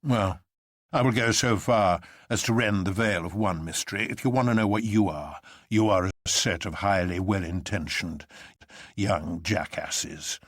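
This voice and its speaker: A man voicing a huge, enigmatic president in a radio drama, smooth, teasing and grandiloquent. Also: low voice, measured pace, no echo, studio quality. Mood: mocking. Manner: smooth, mock-genial, grandiloquent.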